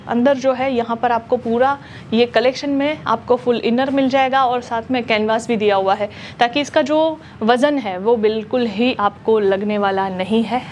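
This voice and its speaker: A young woman presenting clothes in a shop showroom, talking in brisk promotional patter with lots of fillers, on a lapel mic. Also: on the high side, a little hiss or hum. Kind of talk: product showcase sales pitch.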